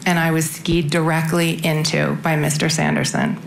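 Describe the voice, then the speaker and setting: A middle-aged woman with a low voice answers questions on the witness stand. She speaks carefully and deliberately, and the courtroom mic gives her voice a roomy, echoing sound with faint noise behind it. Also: level tone, vocal fry. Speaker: defendant testifying. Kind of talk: courtroom testimony. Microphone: desk microphone in courtroom.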